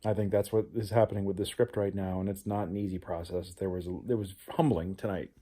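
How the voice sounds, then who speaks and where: A man's voice: low-pitched, fairly even intonation, fast talker, dark tone. A man talking reflectively in a personal vlog as a playwright, earnest and introspective. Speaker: playwright, show host.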